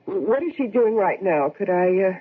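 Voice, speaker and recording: A woman's voice: mid-range voice, lively intonation, normal speed, husky. An actress playing an insistent, emotionally taut married woman worried about her widowed mother in a radio drama. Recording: muffled old radio broadcast recording.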